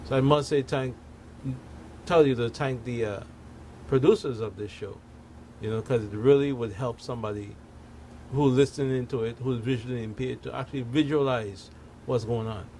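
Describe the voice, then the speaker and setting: A man talking casually as a guest in a discussion, halting and often tagging phrases with filler. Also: medium pitch, a little mellow, low-quality recording. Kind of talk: casual conversational talk. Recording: noticeable background noise, room ambience.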